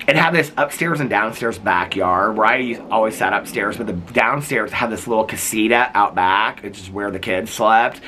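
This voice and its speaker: A man's voice: lowish voice, moderate pace, hardly any pauses, pushed and bright, a little hiss or hum. A man vlogging in a casual, rambling way, full of "like" and "um," while sick and losing his voice. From United States. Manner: rambling, full of likes and ums. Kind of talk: casual chatty vlog.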